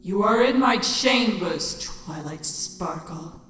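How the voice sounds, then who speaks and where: A woman's voice: medium pitch, slow. A woman voicing a dying, bitter changeling queen, a tired and scornful villain in an audio drama. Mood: deadpan, weary. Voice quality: tired, weary. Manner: deadpan, scornful. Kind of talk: dramatic fan fiction audio drama acting. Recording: long echoing chamber reverb, music.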